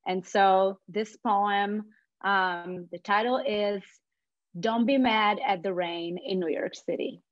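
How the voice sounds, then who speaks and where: Slow Italian-accented woman on dull, dry audio. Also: mid-range voice. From Italy. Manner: slow, careful, gentle reading. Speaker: project coordinator reading a poem. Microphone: video call.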